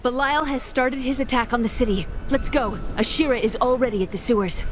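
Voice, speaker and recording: A woman plays a brave, earnest young heroine in a video game, with a slightly high voice. She speaks urgently and directly, always pushing to act. Game music fills the background. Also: fairly quick, natural voice. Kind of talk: video game cutscene acting.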